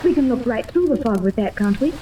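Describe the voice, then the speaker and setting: A woman's voice: on the high side, animated, a little fast, mellow, lightly acted. An actress playing a wealthy, anxious but hopeful mother in a 1930s radio serial, pleading and dramatic. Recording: noisy old 1930s radio recording.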